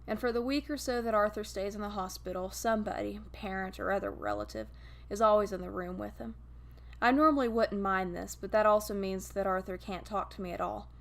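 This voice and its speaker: A woman's voice: medium pitch, animated, a little fast. A woman reading a scripted story aloud as narrator. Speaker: podfic reader.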